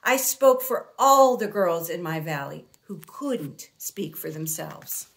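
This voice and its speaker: A woman reading a children's story aloud expressively, in a deliberate way with dramatic pauses. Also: lowish voice, lively intonation, gravelly. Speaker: picture book reader.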